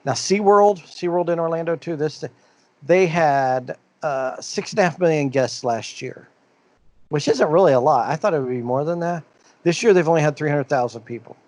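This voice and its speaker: A man's voice: a little high, moderate pace, a little mellow, no room sound. A man co-hosting a podcast, talking in a loose, rambling way. He reads the news out and circles back through uh's and restarts. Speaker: podcast co-host. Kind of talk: casual podcast chat.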